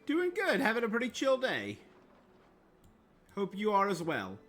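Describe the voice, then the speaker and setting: A man's voice: high-pitched, huge pitch swings, a little slow, a bit bright. A man chatting casually with viewers as a game streamer, in a laid-back, conversational manner. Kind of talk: casual livestream chat with viewers. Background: video game music.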